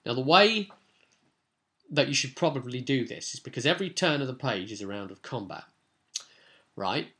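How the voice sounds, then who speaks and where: A man's voice: mid-range voice, lively intonation, moderate pace, long pauses, pushed and bright. A man hosting a tabletop role-play vlog, talking casually and off the cuff in a rambling, storytelling way. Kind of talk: casual unscripted game recap commentary.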